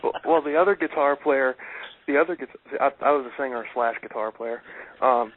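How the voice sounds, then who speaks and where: A man's voice: medium pitch, fairly quick. A man co-hosting a loose talk show, chatting casually and rambling with lots of fillers and restarts. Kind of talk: casual chat. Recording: tinny phone-like internet radio.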